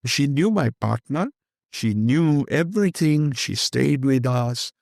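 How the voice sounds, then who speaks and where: An older man with an Indian accent talks in a rambling, heartfelt way, dropping Hindi phrases into his English. His voice has a gravelly edge, and the recording is dry and close. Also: medium pitch, expressive, slow, a little mellow, no room sound. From Kenya. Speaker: guest. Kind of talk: candid conversational interview.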